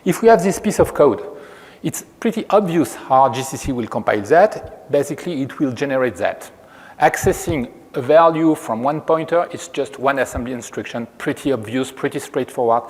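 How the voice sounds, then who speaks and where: A man's voice: mid-range voice, lively intonation, darkish tone. French-accented man lecturing in a reverberant hall. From France. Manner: measured, explanatory, frequent restarts. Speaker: researcher giving a conference talk. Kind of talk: technical lecture.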